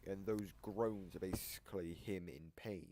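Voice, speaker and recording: A deep-voiced man walks through game lore in a casual, explanatory style. There is faint background noise with some clicking on the recording. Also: fairly even intonation, measured pace, warm tone.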